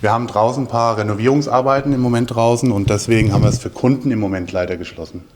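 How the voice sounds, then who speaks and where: A man's voice: mid-range voice, very fast, a little mellow, a little hiss or hum. A middle-aged man from Hesse explaining things in a matter-of-fact, informal way as a show-home sales adviser. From Hesse, Germany. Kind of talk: explanatory interview answers. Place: show house.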